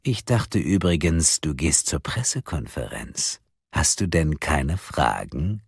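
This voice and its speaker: A man voicing a cold, mocking anonymous male caller who talks slowly and with scorn. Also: rumbling low, big pitch swings, creaky, slightly rough, no room sound, studio quality, full character voice. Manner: slow, sneering, drawn-out delivery.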